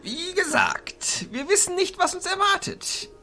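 A man playing a stern, formal archchancellor of mages in a fantasy audio drama, speaking in a commanding, deliberate way. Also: extremely high pitch, sing-song, pushed and bright, faint music behind, exaggerated voice. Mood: grave. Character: stern authoritative male archchancellor of illusionist mages.

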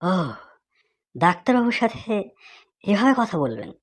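Casting: performer as scornful cartoon doctor, mid-pitched, haughty and scolding. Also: very animated, unhurried. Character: stern, scornful, bossy clinic doctor. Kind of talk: comedy cartoon voice acting.

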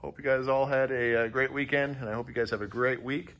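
A man's voice: mid-range voice, fairly quick, highs cut off. Plainspoken man touring his fab shop. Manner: relaxed, plainspoken, frequent "uh" and "um". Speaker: fabrication shop owner. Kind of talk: casual shop walkthrough. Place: large metal fabrication shop, indoors.

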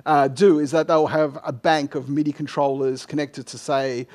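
A middle-aged man speaks at a conference in a slightly high voice. He gives informal technical answers, often stopping and restarting his phrases as he goes. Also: little pitch movement, normal speed, a little mellow. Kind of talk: informal technical Q&A after a talk. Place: indoor lecture room, at a lectern. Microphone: headset microphone.

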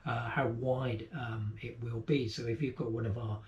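A man's voice: medium pitch, steady tone, moderate pace, a little mellow. A middle-aged man co-hosting a sailing channel, explaining a port in casual chat from his boat cabin. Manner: chatty, explanatory, trailing off at line ends. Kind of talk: casual travel guide chat. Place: boat cabin, seated indoors. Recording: echoey, dull lo-fi sound.

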